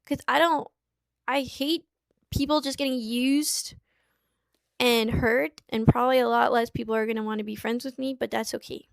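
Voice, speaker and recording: High-voiced young woman, dry close sound. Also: fairly even intonation, vocal fry, no room sound. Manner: halting, tearful at times. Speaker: streamer in a played clip. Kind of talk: emotional personal account. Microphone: small clip-on mic.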